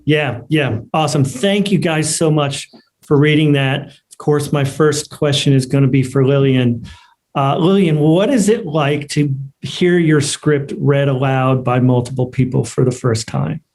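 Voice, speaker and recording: A man's voice: fairly high pitch, measured pace, a little mellow. Informal man moderating a discussion. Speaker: host and moderator. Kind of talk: casual question-and-answer moderating.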